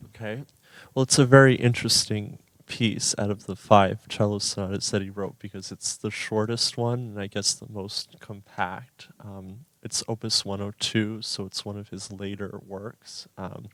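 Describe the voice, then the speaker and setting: A man's voice: on the low side, fairly even intonation, normal speed, a bit bright, no echo, rough recording. A young man from New York City, a pianist and music student, answers interview questions thoughtfully with hesitant ums in a radio studio.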